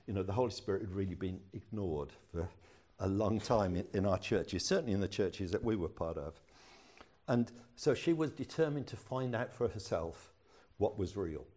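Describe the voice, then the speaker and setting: A man's voice: lowish voice, dark tone. An older man, a pastor preaching a sermon in a chatty storytelling way with self-deprecating humor.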